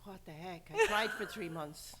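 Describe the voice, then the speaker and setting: A woman's voice: on the low side, very animated, normal speed, noisy background. An older woman with an Irish accent, a guest in an interview, telling stories candidly with a light laugh. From Ireland. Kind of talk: conversational interview.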